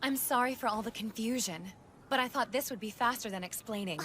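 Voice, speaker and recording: A woman's voice: fairly high pitch, a little fast, a bit bright, a little hiss or hum, natural voice. A woman playing a pushy, mysterious anime girl in an English dub, with a theatrical way of pleading and teasing. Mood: explanatory.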